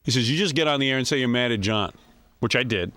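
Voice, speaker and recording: A man's voice: mid-range voice, quick pace, background noise. A man hosting a radio talk show, telling stories conversationally on air. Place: radio studio.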